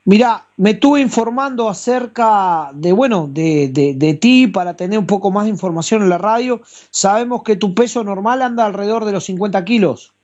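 A woman's voice: mid-range voice, lively intonation, moderate pace, few pauses, a little mellow. A woman with a Uruguayan accent hosting a radio show and interviewing a guest, on dull broadcast audio.

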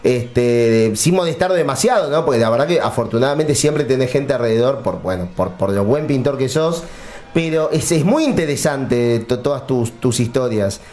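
A man's voice: mid-range voice, animated, moderate pace, darkish tone. A man hosting a radio interview, chatty and laughing easily as he asks questions. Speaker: interviewer, host.

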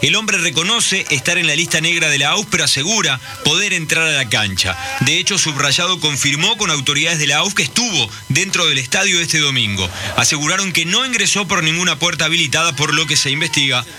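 A man with a Uruguayan accent delivering a field news report in a measured, formal way. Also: on the high side, lively intonation, bright, forceful tone, soft background music. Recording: street traffic noise behind.

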